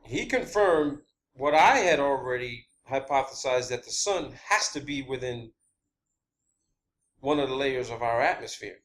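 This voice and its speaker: A man hosting an informal theorizing monologue, speaking in a halting, repetitive and emphatic way with blunt wording. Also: medium pitch, a bit bright, slight background noise. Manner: blunt, swearing. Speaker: video host.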